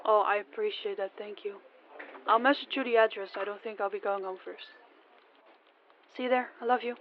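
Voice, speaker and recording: A woman's voice: mid-range voice, steady tone, brisk, muffled recording, slight background noise, lightly acted. A woman voicing a nervous, polite young woman who stammers a little in an audio roleplay. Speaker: voice actor, channel host.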